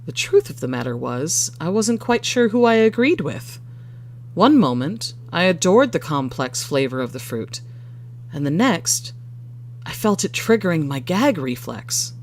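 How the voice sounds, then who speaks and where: A storyteller reading a first-person short story in a measured, expressive way. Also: mid-range voice, a little hiss or hum.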